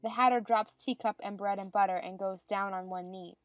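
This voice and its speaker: A woman's voice: mid-range voice, fairly even intonation, fairly quick, no room sound, soft background music. A woman reading scripted stage narration for a dramatized audiobook.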